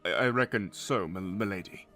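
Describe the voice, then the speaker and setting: A man's voice: low-pitched, moderate pace, sharp tone, faint music behind. A man playing a loyal, kindly captain of the royal guard in a fantasy audio drama, deferential and concerned like an uncle. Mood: concerned, respectful.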